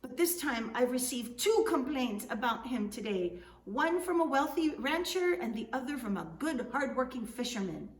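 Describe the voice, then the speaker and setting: A woman's voice: high-pitched, sing-song, normal speed, hardly any pauses, pitched above the performer's own voice. A woman voicing a pompous, gullible male Spanish colonial governor in a storybook, grand and exclamatory. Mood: exasperated. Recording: echoey room, distant mic, faint noise.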